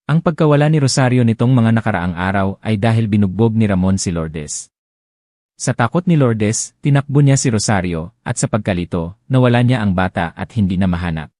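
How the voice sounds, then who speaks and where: A man's voice: lowish voice, expressive, a little fast, a little mellow, dry recording, clean studio sound. A man narrating a romance audiobook, reading dramatically and expressively. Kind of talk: scripted narration.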